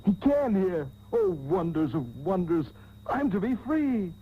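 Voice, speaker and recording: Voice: medium pitch, very animated, measured pace. An actor playing a haughty, indignant captive in a comic radio serial, with pompous, theatrical exclamations. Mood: excited. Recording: muffled, noisy taped radio broadcast.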